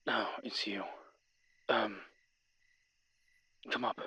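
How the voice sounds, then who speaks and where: A man playing a weary, guarded winged demon in an intimate roleplay audio drama, speaking in a husky, breathy voice with dry, halting phrasing. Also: lowish voice, fairly even intonation, bright, forceful tone, no echo, exaggerated voice. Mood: flustered, reluctant.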